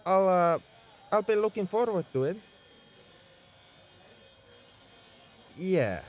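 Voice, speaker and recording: Man acting an animated tsundere mech engineer. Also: sits high, normal speed. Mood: shyly pleased. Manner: scolding, flustered, defensive about his feelings. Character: gruff-caring tsundere male mech engineer, young adult. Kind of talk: ASMR roleplay acting, addressed to the listener.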